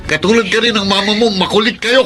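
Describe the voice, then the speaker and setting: An actor playing a bitter, irritable father who yells at his family in a Filipino radio drama. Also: high voice, steady tone, fast, loud music behind the voice, close to an everyday voice. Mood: furious. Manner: shouting, irritable.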